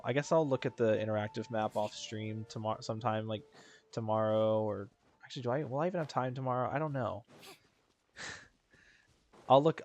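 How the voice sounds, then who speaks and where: A man doing casual, informal gameplay commentary on a livestream, thinking out loud and swearing freely. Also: mid-range voice. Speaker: game streamer. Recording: game music behind the voice. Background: video game music.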